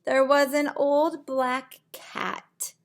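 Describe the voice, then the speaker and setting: A middle-aged woman reading a picture book to young children in a deliberate, sing-song way, with simple asides, recorded in a room at home. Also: sits high, level tone. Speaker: preschool teacher reading aloud. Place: small indoor room at home.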